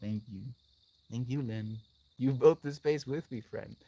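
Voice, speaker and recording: Expressive man in a dry recording. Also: mid-range voice, unhurried, creaky, no room sound, rough recording. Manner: effusive, affectionate, calling listeners "friend". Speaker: live streamer, host. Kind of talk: casual livestream chat, responding to viewer comments.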